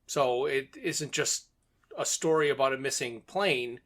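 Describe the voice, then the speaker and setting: A man's voice: medium pitch, a little slow, a bit bright. A man co-hosting a podcast, casually discussing and retelling a story in a conversational way. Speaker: podcast co-host.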